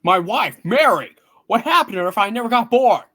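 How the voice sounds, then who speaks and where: Lady doing a distraught family guy in a radio play, highish voice, super animated, pleading hard. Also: a little fast. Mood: anxious pleading. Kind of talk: hammed-up live radio play reading.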